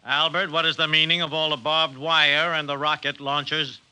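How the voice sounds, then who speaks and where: An actor playing an exasperated, weary husband and boss in a 1950s radio drama. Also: male voice, fairly high pitch, level tone, normal speed, pushed and bright, clear, resonant tone. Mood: bewildered, exasperated.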